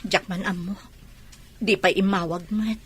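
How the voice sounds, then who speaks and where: A woman's voice: medium pitch, fairly even intonation, measured pace, fairly bright tone, husky. A woman playing a hurt, suspicious wife and patient mother in an Ilocano audio drama. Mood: gently sad.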